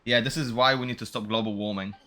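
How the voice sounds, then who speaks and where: A man's voice: medium pitch, fairly even intonation, fast talker, sharp tone. A man giving casual, joking game commentary with slang and swearing, sometimes reading dialogue aloud. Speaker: game streamer.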